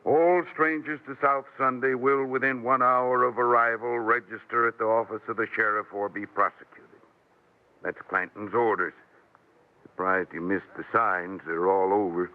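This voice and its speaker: A man plays a cautious frontier townsman from a radio western. His voice sits on the low side, with folksy, rustic phrasing. The audio is narrow and tinny, as in a vintage broadcast. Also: fairly even intonation, normal speed, close to an everyday voice. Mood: brisk, warning. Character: folksy, cautious Old West townsman warning strangers. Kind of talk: 1950s radio western acting.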